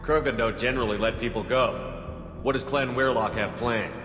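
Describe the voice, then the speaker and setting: An actor playing a steady, principled human military commander in a video game, direct and matter-of-fact. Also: male voice, mid-range voice, normal speed, a little hiss or hum, close to an everyday voice. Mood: disapproving, firm. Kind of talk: video game character acting. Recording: echoey hall reverb, music behind. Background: game music.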